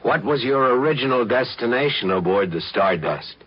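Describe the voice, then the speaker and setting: A man's voice: medium pitch, expressive, normal speed, slightly rough. A man playing a stern, dutiful spaceship pilot in a 1950s radio drama, speaking tersely with grim regret. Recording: dull, lo-fi 1950s radio recording.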